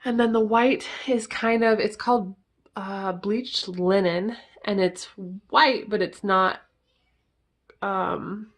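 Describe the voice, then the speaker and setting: A woman's voice: mid-range voice, steady tone, slow pace. A woman in her thirties with a stuffed-up nose, rambling casually as she films a home tour at arm's length, with frequent ums. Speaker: vlogger. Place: family home, kitchen and kids' bedroom, indoors. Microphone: handheld camera at arm's length.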